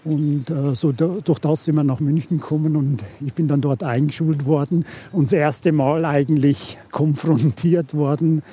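Dunkle, heisere Männerstimme, Mitte fünfzig. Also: male voice, fairly high pitch, fairly even intonation, normal speed, few pauses, dark tone, slightly rough. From born in England, raised in Uganda and Munich. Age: 50s. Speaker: podcast guest. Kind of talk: casual conversation while walking. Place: outdoors on a hike in the Swiss mountains.

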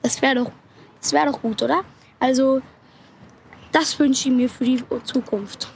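Woman, high voice, very slow, hesitant, repeats herself, faint noise. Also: steady tone, creaky. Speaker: event participant.

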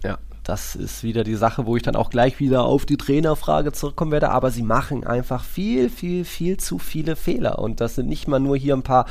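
A man co-hosting a football podcast, talking casually in a rambling, colloquial and animated way. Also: mid-range voice, brisk, gravelly, few pauses. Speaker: podcast host.

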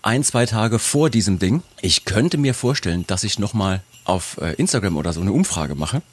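Hoarse, low-voiced male podcast host. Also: expressive, moderate pace. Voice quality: husky. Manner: chatty, self-mocking. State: hoarse from a cold. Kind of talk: casual chat. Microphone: video call.

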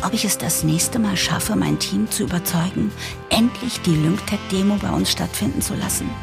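An actress playing a determined new managing director who narrates an audio drama, expressive, with a breathy, husky voice. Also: female voice, medium pitch, normal speed, over music, natural voice. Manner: expressive, explanatory. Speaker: narrator and lead character. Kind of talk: German audio drama, first-person narration and dialogue.

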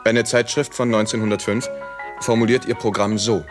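A man's voice: lowish voice, steady tone, moderate pace, music in the background. A man reading scripted documentary narration. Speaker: reader of historical notes and quotations.

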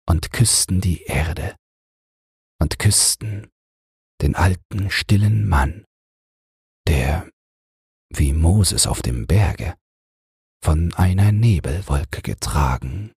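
Deep, husky, slow-reading male narrator. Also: no echo, clean studio sound. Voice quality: husky, breathy. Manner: calm, measured. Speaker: audiobook narrator. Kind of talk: slow bedtime fairy tale narration.

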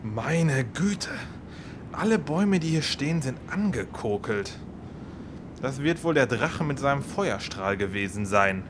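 A man's voice: medium pitch, animated, a little slow, noisy background, lightly acted. A man playing a young, cheerfully naive knight in a fairy-tale audio drama, earnest and matter-of-fact. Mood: chatty, resolute. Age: young adult.

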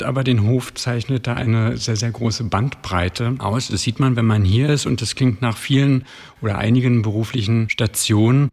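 A male podcast host asking polite, measured interview questions. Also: mid-range voice, a little mellow, in a large hall.

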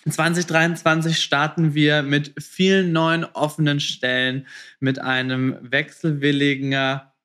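A man hosts a podcast interview in a conversational, informal way. His voice sits slightly above the usual male pitch, and he speaks to listeners as casually as to a guest. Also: little pitch movement, a little slow, a bit bright. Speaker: podcast host.

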